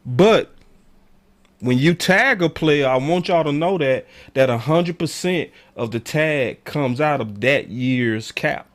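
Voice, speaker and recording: A hoarse, raspy-voiced man hosting a sports call-in show, talking in an emphatic, slangy, animated way. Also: fairly high pitch, slow. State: hoarse, voice going in and out. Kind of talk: passionate sports commentary to callers and viewers.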